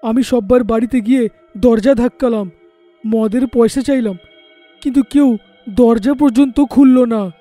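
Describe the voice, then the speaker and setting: An actor playing an aggrieved, vindictive village drunkard in a horror story, declaiming loudly. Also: male voice, normal speed, long pauses, soft background music. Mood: bitter anger. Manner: loud, high-strung declaiming.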